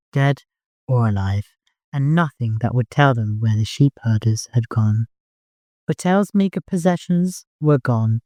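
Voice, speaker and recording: A narrator reads dramatic fiction for an audiobook. The voice sits at a middle pitch. The recording is dry, with no room sound. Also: dark tone, no echo.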